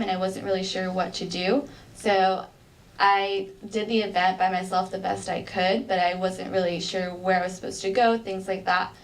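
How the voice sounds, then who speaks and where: A young adult woman, a college student, answering questions politely and earnestly in a mock job interview, with frequent ums and likes. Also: medium pitch. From United States. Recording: distant mic with faint background noise.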